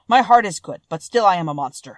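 A woman plays a gentle-hearted male beast from a storybook. He speaks emphatically and with courtly care, humble and full of sorrow. The sound is close and dry. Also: lowish voice, expressive, fast, dry recording, natural voice. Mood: humble, self-deprecating. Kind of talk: storybook character voice.

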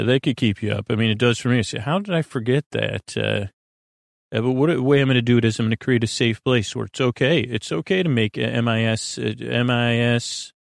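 A man with a creaky voice hosting a bedtime podcast in a soothing, lulling, meandering monologue that halts and drifts into tangents. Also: medium pitch, dry recording, clean studio sound. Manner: meandering, halting, trailing off into tangents and repeats. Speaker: host of a bedtime story podcast. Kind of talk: rambling bedtime monologue to lull listeners to sleep.

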